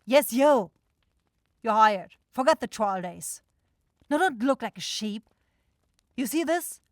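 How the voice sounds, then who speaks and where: A woman playing an irritable, sharp-tongued demon shopkeeper in a scripted fantasy ASMR roleplay, blunt and exasperated. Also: fairly high pitch, animated, takes it slowly, no echo, clean studio sound. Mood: exasperated, bitter. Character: grumpy, sarcastic demon goat-eyed shopkeeper sister, sharp-tongued.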